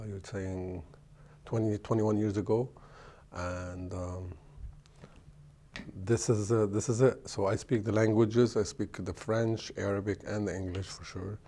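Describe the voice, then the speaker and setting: A middle-aged man, an executive chef answering interview questions in a halting way, often restarting as he searches for words. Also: on the low side, warm tone. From Lebanon.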